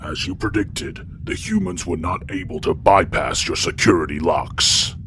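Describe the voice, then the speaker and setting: Very deep alien henchman played by a man. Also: wildly swooping pitch, normal speed, gravelly, pushed and bright, a little hiss or hum, heavily acted. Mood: dutiful. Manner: formal, deferential. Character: winged alien warrior henchman, obedient to his emperor. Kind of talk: audio drama acting.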